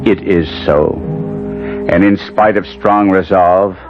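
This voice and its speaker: Casting: a haughty, tortured young aristocrat, low-pitched, literary radio-play monologue, muffled vintage recording. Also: male voice, steady tone, takes it slowly. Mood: anguished, pleading. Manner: brooding, theatrical, literary delivery. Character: proud, tormented young Genoese nobleman recounting his past. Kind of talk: 1970s radio drama narration. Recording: dull old radio recording, loud music behind.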